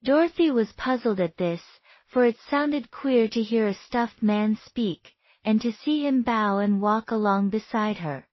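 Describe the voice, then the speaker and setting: A woman's voice: on the high side, a little slow, no echo, dull audio. A woman narrating a scripted children's bedtime story as a read-aloud.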